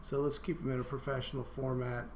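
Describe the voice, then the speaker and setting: A male university instructor going through a course syllabus in a recorded online lecture, talking in a monotone with many halting fillers and restarts. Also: medium pitch, a little hiss or hum. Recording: roomy echo, faint room ambience.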